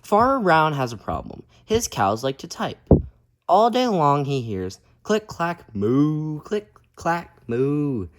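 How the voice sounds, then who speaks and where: Animated young-reader storyteller, male, lively pitch. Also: slow pace. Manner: encouraging, addressing listeners as friends. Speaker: children's read-aloud host. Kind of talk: expressive picture-book read-aloud.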